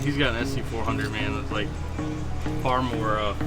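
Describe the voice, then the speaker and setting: A man's voice: on the low side, normal speed, loud music behind the voice. A man working at a car shop, chatting casually and jokily, often repeating himself. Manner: relaxed, jokey, repeats words.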